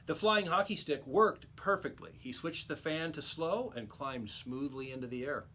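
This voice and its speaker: A man reading a children's storybook aloud like a teacher, with expressive, emphatic storytelling. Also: fairly high pitch, moderate pace.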